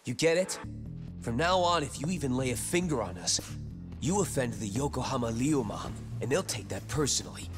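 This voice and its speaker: A man's voice: mid-range voice, animated, fairly quick, music in the background, close to an everyday voice. An actor playing a brash young male delinquent henchman in an English game dub, slangy and taunting. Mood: threatening. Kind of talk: English video game dub cutscene acting.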